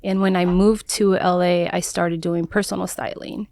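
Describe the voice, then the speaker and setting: A woman born in Mexico and raised in San Diego, a wardrobe stylist, telling her story in an earnest, halting way as an interview guest. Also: fairly low pitch, little pitch movement, vocal fry, a little mellow, no echo, studio quality. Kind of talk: conversational interview.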